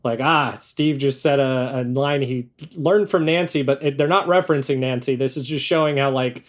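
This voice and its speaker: A man's voice: medium pitch, normal speed. A man talking casually as an interview guest, earnest like a devoted fan and often acting out little quotes. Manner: earnest fan talk, frequent quoted asides. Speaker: interview guest, audio drama creator.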